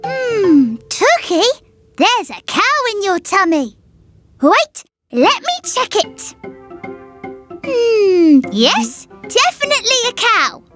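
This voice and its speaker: An actor voicing an excitable, bossy little child in a children's cartoon. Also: very high-pitched, slow pace, heavily acted. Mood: playful, bossy. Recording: music behind the voice.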